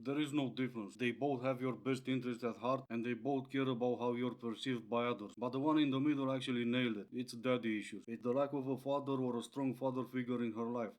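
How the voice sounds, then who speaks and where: A man's voice: medium pitch, fairly even intonation, fast, few pauses, a little mellow, low-quality recording. A man hosting a reaction commentary channel, talking in a sarcastic, mocking way.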